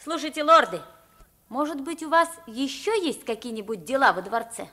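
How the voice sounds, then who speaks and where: A woman's voice: high voice, normal speed, heavily acted. An actress playing a naive, plain-spoken poor boy taken for a king, in an old radio drama. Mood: curious. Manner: boyish, naive, expressive. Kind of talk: Soviet 1950s radio play acting.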